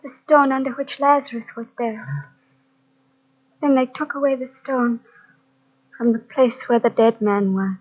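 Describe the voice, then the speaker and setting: A woman's voice: fairly high pitch, steady tone, normal speed, long pauses, lightly acted. An actress playing an earnest, devout young woman with a solemn, searching manner, in old-time radio drama style. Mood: solemn, reverent. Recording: dull lo-fi old radio cassette transfer.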